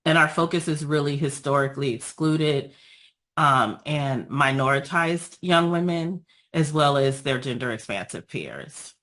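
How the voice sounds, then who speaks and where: A woman with a raspy voice after being ill, giving an earnest, measured presentation over a video call with frequent pauses and ums. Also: deep voice, a bit bright. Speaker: guest speaker, nonprofit founder.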